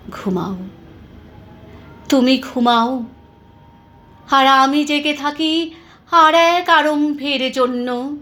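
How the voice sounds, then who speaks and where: A woman reciting poetry in a deliberate, expressive, dramatic style with weighted pauses. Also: high-pitched.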